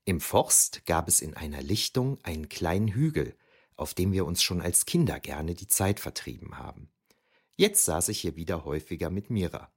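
A man's voice: deep voice, very animated, moderate pace, slightly rough, exaggerated voice. A man playing a reflective ancient historian who narrates memories of youth in a scripted, wryly explanatory way for an audio drama. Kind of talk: scripted first-person narration.

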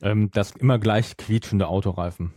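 A man's voice: fairly low pitch, fairly even intonation, normal speed, darkish tone, no echo, studio quality. A man talking casually as the co-host of a podcast. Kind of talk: casual chat.

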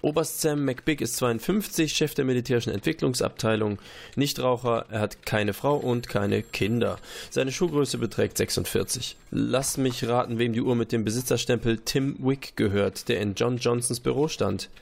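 A man's voice: mid-range voice, moderate pace, vocal fry, a little mellow. A man reading a report aloud during a tabletop game, breaking off for dry sarcastic remarks. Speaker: player reading a forensic report.

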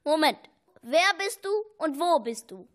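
A woman voicing a lost, easily annoyed little male earworm who thinks out loud in a children's audio story. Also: sits high, lively intonation, unhurried. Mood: puzzled. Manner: exclaiming, grumbling, thinking out loud.

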